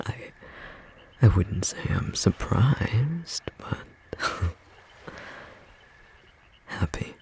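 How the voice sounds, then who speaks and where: A performer playing a tender, devoted magical bookstore clerk in an intimate ASMR romance roleplay, speaking in a gentle, slightly rough, breathy whisper with long pauses. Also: medium pitch, animated, heavily acted. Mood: tender, adoring.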